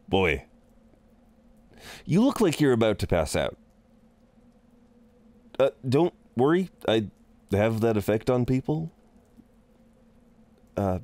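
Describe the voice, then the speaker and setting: A man playing an amused, seductive incubus in an intimate roleplay audio drama, teasing and soothing in an unhurried, halting way. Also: mid-range voice, a little mellow, no room sound. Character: amused, reassuring, seductive male incubus innkeeper.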